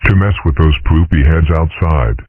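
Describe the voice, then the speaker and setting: A man voicing a calm father dragon in a comic dub, lecturing in a measured, deadpan way with dry mischief. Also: rumbling low, breathy edge, no room sound. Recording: muffled, phone-like narrow sound.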